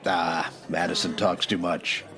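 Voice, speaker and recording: Actor as low-voiced weary Old West marshal. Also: male voice, flat delivery, measured pace. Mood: downcast. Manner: hesitant, plainspoken. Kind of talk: old radio western parody acting.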